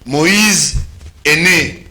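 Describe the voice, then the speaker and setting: A man with a Congolese French accent, calmly explaining election law as a guest commentator in a talk-show discussion and slipping into Lingala now and then. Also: fairly high pitch, very slow. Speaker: political commentator. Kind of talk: studio political discussion.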